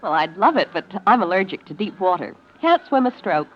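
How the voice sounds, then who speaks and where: Playful, lively young fiancee in radio drama actress. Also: female voice, medium pitch, a little fast, lightly acted. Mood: wryly polite. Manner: bantering, wry. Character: playful, smitten young fiancee with wry edge. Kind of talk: 1940s radio drama acting. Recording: muffled noisy old radio recording.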